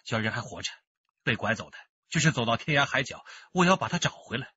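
A man's voice: mid-range voice, expressive, fast, bright, forceful tone, highs cut off, a little hiss or hum. An actor playing an anxious but determined father of a missing boy in a radio drama. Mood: grave resolve.

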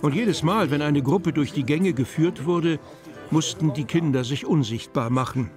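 A man's voice: fairly high pitch, normal speed, darkish tone, soft background music, lightly acted. A man narrating a children's fantasy audio drama as its storyteller. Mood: storytelling.

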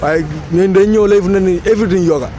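A man's voice: sits high, very fast, dark tone, a little hiss or hum. A man complaining animatedly to a street interviewer outdoors. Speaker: passer-by interviewee. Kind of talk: street opinion.

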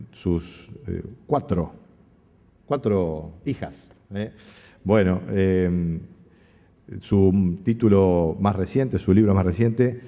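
Middle-aged male moderator, conference introduction, mellow low voice with long hall reverb. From Uruguay. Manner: reads a bio with pauses and self-corrections. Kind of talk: formal speaker introduction. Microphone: podium microphone.

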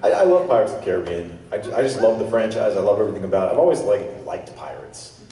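A man's voice: lowish voice, quick pace. A man in his thirties on a convention panel, joking and laughing as he talks. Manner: playful, laughing, very lively. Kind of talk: panel Q&A chat. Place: large convention hall stage. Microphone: handheld mic heard through hall speakers. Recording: very echoey hall from far off, crowd.

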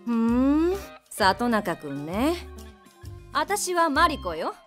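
An actress playing a brash, flashy snack-bar mama who talks bossily and sharp-tongued, in an audio drama with music behind. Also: female voice, on the high side, big pitch swings, takes it slowly, full character voice. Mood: breezy.

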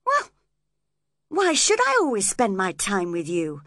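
A woman's voice: medium pitch, huge pitch swings, moderate pace, pushed and bright, no room sound, clean studio sound, exaggerated voice. A woman voicing a spoilt, hot-tempered young woman in a storybook, cross, emphatic and imperious.

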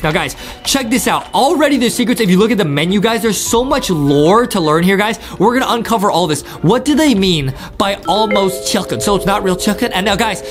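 High, excitable young man. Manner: excitable, emphatic, addresses viewers constantly. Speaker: host of gaming videos. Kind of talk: hyped gameplay commentary for children.

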